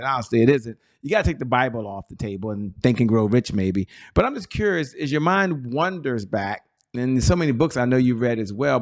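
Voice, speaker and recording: Enthusiastic talk show host, man. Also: mid-range voice. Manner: enthusiastic, long-winded questions, addresses the audience. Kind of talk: interview host chat.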